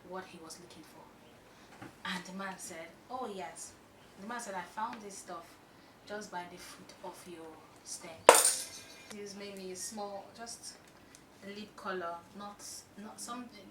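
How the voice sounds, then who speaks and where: A young woman with a Nigerian accent telling an animated story, chatting as she does her makeup. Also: lowish voice, unhurried, background noise. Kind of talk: storytime while doing makeup.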